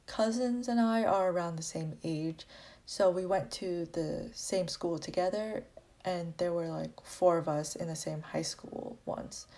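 A woman's voice: fairly low pitch, a little mellow. A young woman with an American accent, a college student reflectively telling her personal story. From grew up in Massachusetts, US, born in Vietnam. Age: young adult.

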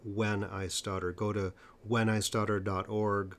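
A man with a lowish voice interviews a guest. He talks emphatically and leans on key points by slowing down. His tone is conversational. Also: flat delivery. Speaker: interviewer and stuttering coach.

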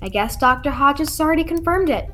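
Girl acting plucky detective helper, high voice, old radio show style, music under it. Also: animated, brisk, a bit bright. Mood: pleased. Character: plucky sleuthing helper and storyteller.

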